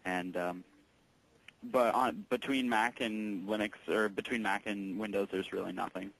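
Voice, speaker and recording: A man working as a tech support employee on a call, explaining things patiently in a halting, informal way. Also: fairly low pitch, steady tone, gravelly, fairly bright tone, poor audio. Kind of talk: casual explanatory phone conversation.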